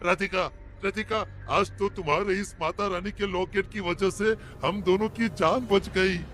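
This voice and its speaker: A man's voice: high voice, steady tone, measured pace, fairly bright tone, faint music behind. A man voicing a jittery young man in a cartoon horror story who stammers when frightened. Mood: urgent.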